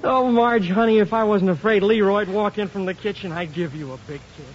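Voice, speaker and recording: An American actor playing a hesitant, earnest, lovesick young husband in a 1950s radio comedy. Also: male voice, sits high, brisk, close to an everyday voice. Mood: tender. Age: young adult. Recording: noisy, muffled 1950s radio recording.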